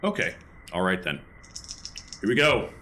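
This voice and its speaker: A man with a very deep voice and a bright, forceful edge plays a tabletop game. His casual talk swings lively in pitch. There is noticeable noise in the background. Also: unhurried.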